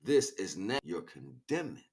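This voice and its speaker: A man delivering an impassioned, improvised spiritual monologue, preachy and grandiose, swinging between hushed asides and loud, emphatic declarations. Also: medium pitch, sing-song, unhurried, vocal fry. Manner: preachy, grandiose, repeats phrases for weight. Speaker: spiritual speaker, streamer.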